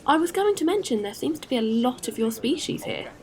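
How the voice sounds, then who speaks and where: A woman's voice: high-pitched, big pitch swings, a little fast, a little mellow. A woman playing a friendly, practical alien courier in a science-fiction audio drama, easygoing and matter-of-fact. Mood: polite, businesslike.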